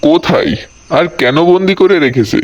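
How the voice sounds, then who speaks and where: A man's voice: high-pitched, wildly swooping pitch, moderate pace, exaggerated voice. A man playing an anxious, determined village father in a cartoon, speaking earnestly. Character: worried, determined village father seeking his daughter. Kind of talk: cartoon acting. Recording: dull lo-fi audio with clear noise.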